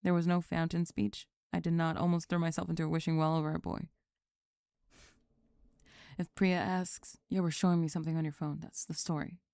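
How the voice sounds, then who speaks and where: A woman voicing a sulky young goth bully who is flustered and secretly lovestruck, halting and sighing in an ASMR roleplay. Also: on the low side, gravelly, mellow, no echo, studio quality, pitched below the performer's own voice. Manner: halting, sighing, grudgingly tender and defensive. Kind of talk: ASMR roleplay drama, spoken to the listener.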